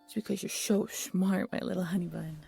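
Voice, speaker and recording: A woman voicing an old grandmother storyteller in an audio drama, speaking in a breathy, hushed and deliberate way. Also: lowish voice, steady tone. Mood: doting, teasing. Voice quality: breathy, whispery. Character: gentle old grandmother storyteller, turning eerie and insistent. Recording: music under the voice.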